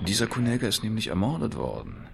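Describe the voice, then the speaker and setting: An actor playing a shrewd acquaintance in a 1970s radio drama, politely probing with seemingly casual, insinuating remarks. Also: male voice, on the low side, big pitch swings, fast, vocal fry, noisy background. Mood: casually probing.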